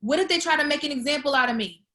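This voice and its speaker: Woman acting dramatic young woman, very high voice, anxious, sarcastic, slangy, comedy script read. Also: racing, sharp tone.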